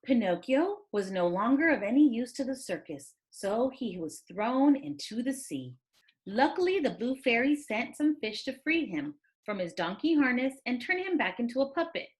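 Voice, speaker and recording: A woman reads a children's story aloud in the manner of a teacher. Her voice sits slightly high and swings widely in pitch. The delivery is expressive and dramatic, made for young listeners. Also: moderate pace, low-quality recording. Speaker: teacher reading aloud to pupils.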